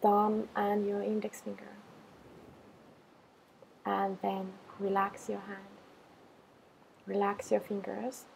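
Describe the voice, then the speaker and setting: A woman teacher leading a guided meditation in a calm, unhurried manner, giving simple instructions with gentle pauses. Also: medium pitch, vocal fry, slight background noise, poor audio.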